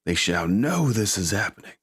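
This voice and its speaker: A man's voice: on the low side, very animated, moderate pace, fairly bright tone, breathy edge. A man hosting as game master, chatting casually and informally before an online tabletop game.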